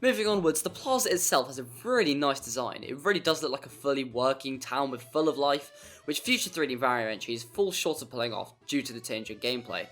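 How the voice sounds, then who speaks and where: A man's voice: mid-range voice, brisk, fairly bright tone. A man reads a scripted game review as a video essay. His delivery is jokey and wry, leaning into exaggeration. Music plays behind his voice.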